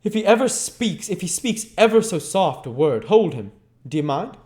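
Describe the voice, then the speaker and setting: A man's voice: sits high, sing-song, normal speed, darkish tone, voice pushed up. A man voicing a brutal, menacing thief who growls threatening commands in a storybook reading. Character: brutal, menacing adult male thief bullying a boy. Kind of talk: storybook character voice.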